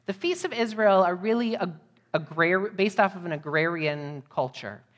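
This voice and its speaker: A woman's voice: fairly low pitch, lively intonation, moderate pace. An American woman giving a sermon to a congregation as a guest missionary preacher. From United States, Midwest. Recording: long hall reverb.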